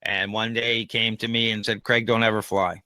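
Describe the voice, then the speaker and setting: A man talks as a podcast co-host in a casual, opinionated way. His voice is bright and forceful with a smooth, clean tone. He explains things conversationally with examples, and the sound is dry. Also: fairly low pitch, level tone, normal speed, dry recording.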